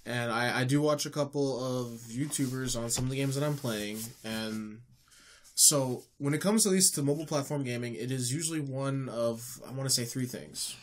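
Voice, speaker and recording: A man's voice: medium pitch, moderate pace, slight background noise. A man with a stuffed-up nose, co-hosting a casual gaming podcast and rambling with many fillers and restarts. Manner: rambling, filler-heavy, self-correcting. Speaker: podcast co-host. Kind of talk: casual chat.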